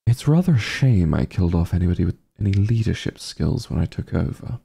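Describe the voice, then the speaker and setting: A man playing a vain, disdainful evil sorcerer king in an ASMR roleplay, with a gravelly, breathy voice and a pompous, sardonic drawl. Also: deep voice, expressive, brisk, dark tone, heavily acted. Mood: imperious scorn.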